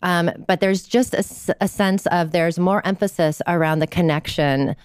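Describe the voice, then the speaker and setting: Low-voiced woman, smooth and dry. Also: moderate pace, no echo. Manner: animated storyteller, acts out quotes. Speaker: guest, somatic therapist. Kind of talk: conversational interview.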